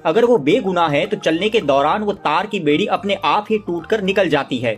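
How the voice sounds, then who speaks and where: A man giving steady, scripted documentary narration. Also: high voice, moderate pace, soft background music.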